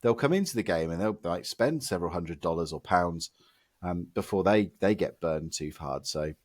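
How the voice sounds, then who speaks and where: A low-pitched man with a hoarse voice he is losing to illness. He rants with exasperated emphasis on a close, dry podcast mic. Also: animated, moderate pace, no room sound. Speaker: podcast co-host. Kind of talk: casual podcast chat.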